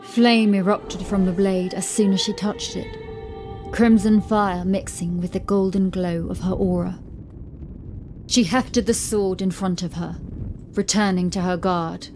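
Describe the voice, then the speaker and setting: A woman narrator reading scripted horror fiction in a measured, deliberate, dramatic storytelling style. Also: medium pitch, steady tone, darkish tone. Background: music.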